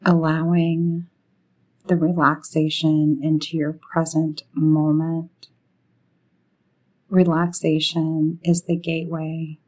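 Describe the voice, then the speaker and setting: Female meditation narrator: soothing and relaxed in tone, very slow delivery, short phrases with spacious pauses. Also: deep voice, low-quality recording. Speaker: guided meditation leader.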